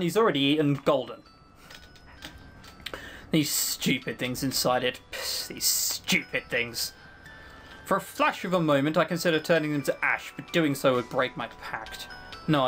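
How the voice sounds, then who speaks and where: A bitter, centuries-old fiend, played by a narrator in an audio roleplay, musing with cruel mockery one moment and spiralling into anguish the next. His voice sits at a middling pitch. Soft music plays faintly underneath. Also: close to an everyday voice. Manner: brooding, mocking, swings to anguished outbursts. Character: ancient male fiend trapped in a circle, lonely, bitter.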